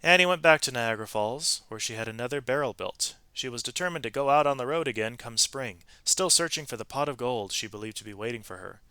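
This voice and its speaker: A man narrating a children's story aloud in an expressive, animated way, with varied emphasis from phrase to phrase. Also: medium pitch, quick pace, few pauses, smooth, no room sound.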